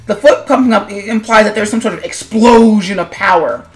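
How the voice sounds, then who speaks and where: A woman speaks like a video host chatting through her own animation work. Her delivery is conversational and rambling, with a self-deprecating streak. Her pitch sits in the ordinary middle range for a woman, and music plays under the voice. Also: fairly quick. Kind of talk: casual commentary vlog on own animation.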